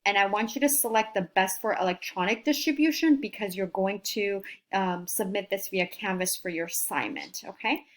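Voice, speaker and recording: A woman's voice: medium pitch, moderate pace, few pauses. A woman career coach giving an upbeat, encouraging screen-recorded tutorial and talking students through each step. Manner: upbeat, encouraging, talks while clicking through steps.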